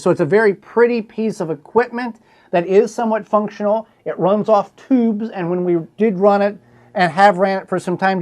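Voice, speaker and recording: A man's voice: high voice, a little mellow. A man hosting a casual show-and-tell, explaining things in a folksy, plainspoken way. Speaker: host showing a collectible.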